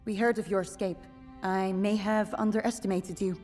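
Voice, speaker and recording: An actress playing a stern special forces officer, curt and authoritative, in a cinematic video game. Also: female voice, mid-range voice, fairly even intonation, moderate pace, a little mellow, faint music behind, close to an everyday voice. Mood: measured, guarded.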